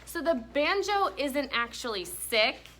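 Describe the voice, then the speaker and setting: Bright, animated woman teaching a class. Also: high voice, a little slow. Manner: asks questions, echoes pupils' answers. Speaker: elementary school teacher. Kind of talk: classroom lesson and story read-aloud. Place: indoor classroom with pupils. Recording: faint room noise.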